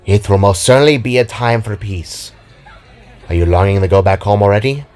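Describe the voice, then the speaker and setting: A man's voice: lowish voice, brisk, darkish tone, close to an everyday voice. Man voicing upbeat, coaxing female fox spirit. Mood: gentle concern. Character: upbeat, caring female kitsune fox, elder sister.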